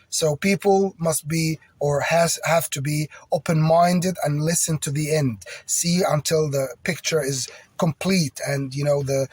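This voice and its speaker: French-native man, higher-pitched and husky, rambling and agitated personal appeal. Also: a little mellow, dry recording.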